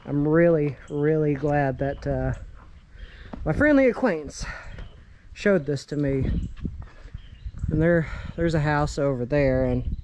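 Slow-talking man vlogging outdoors. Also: on the high side, animated, darkish tone, a little hiss or hum. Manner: awestruck, exclaiming. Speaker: vlog host. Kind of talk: casual exploration vlog narration. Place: outdoors in woods around abandoned railway.

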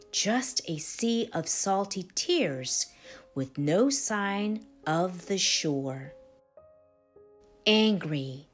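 A woman reads a children's picture book aloud in verse. Her pitch rises and falls expressively while the pace stays slow and deliberate, giving each phrase some drama. Music plays behind her voice. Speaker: storybook reader.

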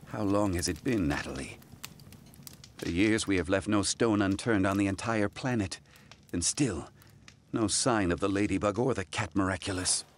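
An actor playing an obsessive, wealthy supervillain in an animated game, speaking in a formal, theatrical, deliberate way. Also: male voice, sits low, a little hiss or hum, close to an everyday voice. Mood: brooding. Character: obsessive, imperious, wealthy male supervillain with grand ambitions. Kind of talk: dramatic animated game cutscene acting.

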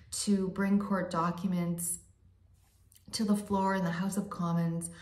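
A woman in her forties speaking straight to the camera from her bathroom at home, earnest and pleading, sometimes dropping to a soft whisper. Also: lowish voice. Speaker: vlogger. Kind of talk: direct-to-camera appeal. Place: dim bathroom at home, indoors. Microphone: phone or webcam close to the face. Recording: echoey bathroom.

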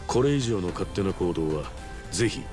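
An actor playing a cool, calculating executive fixer in a video game, speaking with stern, measured politeness. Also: male voice, sits low, expressive, over music. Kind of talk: Japanese video game cutscene acting.

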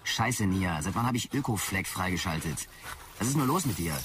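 A man's voice: deep voice, lively intonation, normal speed, noisy background. A man playing an exasperated, colloquial guy in a sci-fi audio drama. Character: exasperated man fighting his faulty implant. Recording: loud music behind the voice.

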